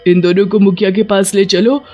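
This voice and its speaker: A man's voice: high-pitched, level tone, normal speed, warm tone. A man voicing a gloating, hungry female witch who hunts humans in a horror cartoon. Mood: threatening. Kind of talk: cartoon horror story dialogue.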